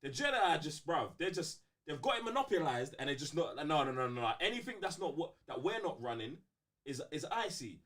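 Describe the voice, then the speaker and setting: A man with a London accent and a slightly high voice co-hosts a casual fan debate. His pitch moves in a very lively way. He talks in emphatic slang and often repeats a phrase to drive it home. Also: a little fast. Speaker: co-host of a fan talk show.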